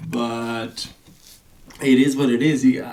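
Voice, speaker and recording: A relaxed man hosts an informal podcast chat, his voice carrying a gravelly edge. He talks in an easygoing, chatty way and laughs often. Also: medium pitch, slow pace, a crowd in the background, poor audio. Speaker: skate vlogger and podcast host. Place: skate shop, sound not the best.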